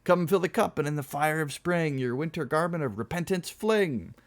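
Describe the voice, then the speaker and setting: Guy doing a boozy cremator from a novel, florid and fake dignified, voice pitched up. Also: lively intonation, moderate pace, natural voice. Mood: florid revelry. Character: tipsy, pretentious male cremator.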